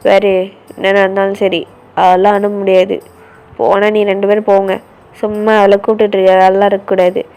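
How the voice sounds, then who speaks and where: A woman's voice: medium pitch, little pitch movement, fairly quick, creaky, warm tone, pitched below the performer's own voice. A woman voicing an angry, controlling older brother who barks threats and orders. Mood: angry threatening. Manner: harsh, threatening, commanding.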